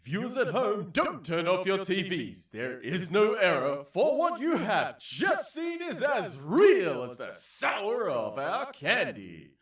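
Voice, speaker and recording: Man acting a sadistic game show host, theatrical, very animated, slow drawn-out delivery, comedy audio drama. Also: high voice, far from the mic, exaggerated voice. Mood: showy, teasing. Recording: roomy echo, off the mic.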